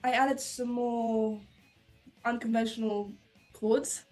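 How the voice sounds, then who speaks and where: A woman in a band, a keyboard player, answering interview questions in a soft, somewhat hesitant way. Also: on the high side, steady tone, takes it slowly, soft background music, low-quality recording. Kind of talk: casual interview chat.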